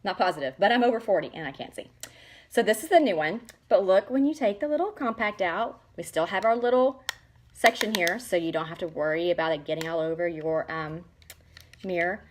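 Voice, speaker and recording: A woman's voice: lowish voice, expressive, fairly quick, a little hiss or hum. A woman, chatting casually and gushing as she shows makeup products to the camera. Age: 40s. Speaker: makeup seller showing products. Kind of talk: casual product demo vlog.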